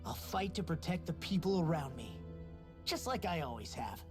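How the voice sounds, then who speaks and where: A man's voice: a little high, sing-song, moderate pace, over music. An actor voicing a brash but big-hearted young male fighter in a video game cutscene, talking in a casual, candid way.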